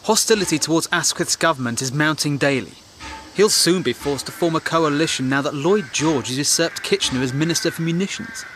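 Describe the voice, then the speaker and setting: Polite english war reporter, lightish higher voice, wry n earnest, radio drama, bit of bg noise. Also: male voice, animated, a little fast, fairly bright tone. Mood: earnest, probing. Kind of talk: period audio drama acting.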